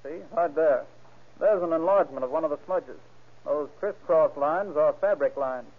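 Actor as higher-voiced, precise police technician. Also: male voice, moderate pace, natural voice. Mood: precise, deferential. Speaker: actor in a radio crime drama. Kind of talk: old-time radio crime drama acting. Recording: narrow, tinny vintage radio recording.

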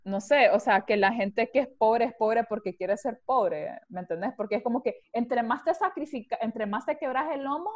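A woman's voice: on the high side, moderate pace, few pauses, dull audio. A young woman with a Honduran Spanish accent, chatting casually and arguing her point emphatically. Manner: emphatic, opinionated, animated, voseo with lots of "o sea". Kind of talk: casual conversation, podcast-like.

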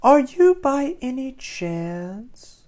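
A woman's voice: sits high, sing-song, extremely slow, dark tone, voice pushed up, heavily acted. A woman voicing a cheerful, naive, genteel lady fish in a gushing, exclamatory and polite way, for a children's storybook. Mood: grateful, polite.

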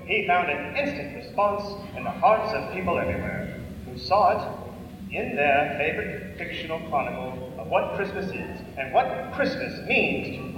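A man with a high-pitched voice announces a live radio play from a script. He is heard far from the microphone in a very echoey hall, with clear noise around him. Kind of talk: scripted old-time radio show introduction. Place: live performance in a hall before an audience.

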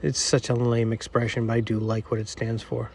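A middle-aged man with a nasal voice hosting a podcast on his own, rambling and self-deprecating, talking quietly outdoors. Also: medium pitch, level tone, brisk, gravelly, a little hiss or hum. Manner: quiet, not projecting. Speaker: podcast host. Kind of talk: casual solo monologue.

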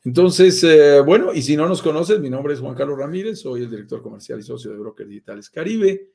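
A Colombian man hosting a live stream, pitching property investment in an upbeat sales manner with frequent fillers. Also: animated, fairly quick, gravelly, mellow. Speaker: host, sales director of a property firm.

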